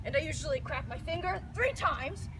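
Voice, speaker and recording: A woman playing a boastful, dim-witted male Irish giant in loud comic storytelling theatre. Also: high-pitched, expressive, normal speed, background noise. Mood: dim, grudging. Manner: blustering, boastful.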